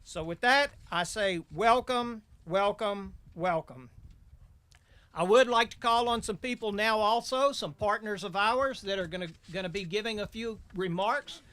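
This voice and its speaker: A man's voice: high voice, a little slow, fairly bright tone. A middle-aged man giving a folksy welcome speech as master of ceremonies.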